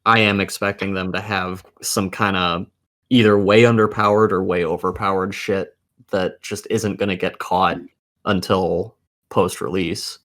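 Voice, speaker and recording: A man's voice: sits low, level tone, measured pace, fairly bright tone. A man co-hosting a casual video game discussion, giving opinions with plenty of swearing. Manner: profane, opinionated. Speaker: podcast co-host.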